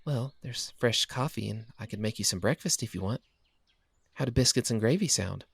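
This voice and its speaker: A man voicing a gentle, hesitant young woman who muses and trails off softly, as a storybook reader. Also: lowish voice, moderate pace, vocal fry, breathy edge, no room sound, voice pushed up. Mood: apologetic. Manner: soft, hesitant, musing.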